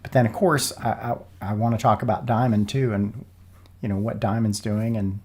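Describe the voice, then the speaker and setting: A man's voice: lowish voice, steady tone, moderate pace, a little mellow, slightly rough. A man hosting a podcast in a casual, folksy way, sounding a little froggy from a cold. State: froggy, getting over a cold. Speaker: podcast host. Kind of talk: casual sponsor interview podcast.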